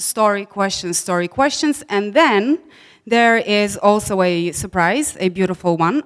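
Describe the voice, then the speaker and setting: A woman moderating an event lays out the program in a smooth, clear voice. She talks briskly, with frequent fillers. A long reverb from a large room trails her words. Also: mid-range voice. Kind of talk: announcing the program.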